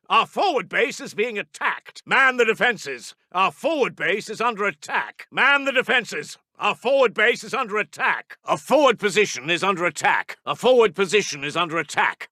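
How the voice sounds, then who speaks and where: A man's voice: high voice, sharp tone, no room sound, clean studio sound, full character voice. A man playing an urgent British Second World War intelligence officer for a video game, giving clipped, emphatic military reports. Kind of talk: video game voice lines, wartime battle reports.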